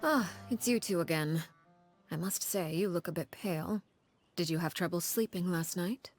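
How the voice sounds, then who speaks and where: An actress playing a cold, composed woman who leads an orphan house in a video game, formal and measured, politely threatening. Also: lowish voice, soft background music. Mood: coolly polite.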